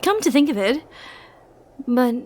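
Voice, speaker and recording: A woman playing a powerful, flirty and possessive sorceress in an ASMR roleplay, speaking softly and intimately with theatrical, teasing, condescending turns. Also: high-pitched, sing-song, unhurried, heavily acted. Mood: tender, intense. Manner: soft, intimate, teasing, theatrical delivery with breathy murmured asides.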